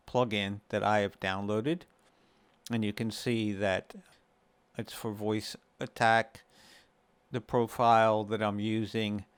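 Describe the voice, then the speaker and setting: A man with a smooth, clear voice narrates a casual gaming tutorial. He speaks very slowly and explains things in measured phrases. The sound is dry and close, with no room to it. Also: on the low side, no echo. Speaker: host of a gaming guide video series.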